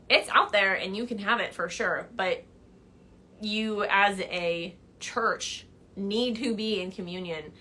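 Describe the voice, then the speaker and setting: A young woman answering viewer questions in a casual livestream chat from her living room couch, animated and chatty. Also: mid-range voice, a little hiss or hum. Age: young adult. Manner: chatty and animated, gestures a lot, laughs while telling stories. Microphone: phone camera at arm's length.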